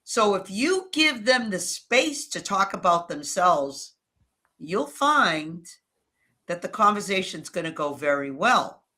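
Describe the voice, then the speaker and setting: A woman with a New England accent giving advice and telling stories in conversation as an interview guest, with measured, emphatic phrasing. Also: mid-range voice, sing-song, bright, forceful tone. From New England, United States. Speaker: interview guest, relationship coach.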